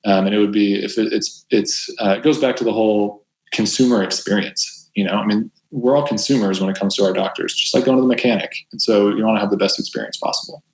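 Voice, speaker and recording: A deep-voiced male doctor talks in a low, flat tone, steady and even. He speaks thoughtfully, with restarts, as the guest in an interview. The sound carries a roomy echo. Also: fast talker, hardly any pauses. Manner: thoughtful, conversational, with frequent restarts. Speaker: family doctor. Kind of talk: casual interview talk.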